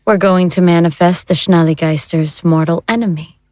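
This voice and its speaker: A woman plays a knowledgeable occult investigator in an audio drama. She explains things with composure in a slightly low voice, recorded dry. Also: steady tone, moderate pace, a little mellow, no room sound, lightly acted. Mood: calm, intrigued.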